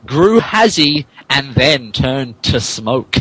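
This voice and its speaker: A man's voice: medium pitch, very animated, slow pace, fairly bright tone, husky, rough recording. A man narrating a comic dramatic reading of fan fiction in a hammy way, stumbling over words. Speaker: narrator, podcast reader.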